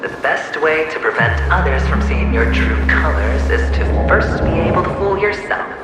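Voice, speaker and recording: A sardonic, taunting male doppelganger from a video game, heard through a heavy echo over loud music. He draws his words out with mocking relish, his pitch swooping up and down. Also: animated. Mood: gleeful, needling. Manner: sardonic, theatrical, drawn-out mocking delivery. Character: taunting mocking inner self of a cunning gambler, male. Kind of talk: theatrical video game voice acting. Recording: heavily echoing, with music behind.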